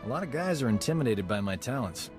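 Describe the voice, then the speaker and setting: Man acting a cocky medic sidekick, mid-pitched. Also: big pitch swings, fast talker, close to an everyday voice. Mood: smug. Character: cocky, self-admiring male field medic companion. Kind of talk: video game cutscene acting. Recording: music behind the voice.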